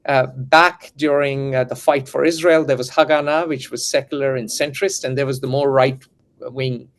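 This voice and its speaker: A man's voice: medium pitch, fairly even intonation, moderate pace. A man hosting a geopolitical discussion, lecturing and full of historical asides. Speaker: host and editor.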